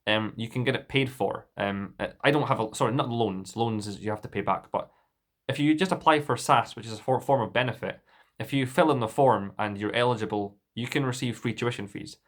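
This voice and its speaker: Young scottish guy, soft dundee accent, mid pitch, defensive rant with lots of restarts. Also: a little fast. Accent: Dundee Scottish, mild. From Scotland. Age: young adult. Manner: indignant and defensive, with frequent restarts. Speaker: vlogger. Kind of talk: casual monologue to camera.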